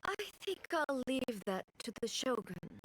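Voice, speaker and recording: An actress voicing a stern, composed female ruler in a video game, speaking formally and with measured command. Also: sits high, big pitch swings, no echo, full character voice, rough recording. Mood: calm, reflective. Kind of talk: scripted video game voice acting. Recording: game dialogue over background music.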